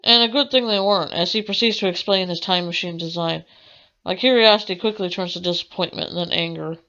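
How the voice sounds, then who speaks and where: A woman reading a visual novel aloud as a let's play, with a halting delivery that corrects itself. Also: on the low side, sharp tone. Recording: dull lo-fi mic with music behind. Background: game music.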